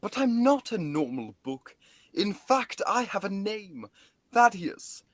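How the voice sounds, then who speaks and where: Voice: mid-range voice, big pitch swings, slow pace, no room sound, clean studio sound. A student performer voicing a wisecracking, cheeky talking magical book in a radio play, quipping back with questions. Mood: wry, proud. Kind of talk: student radio theatre acting.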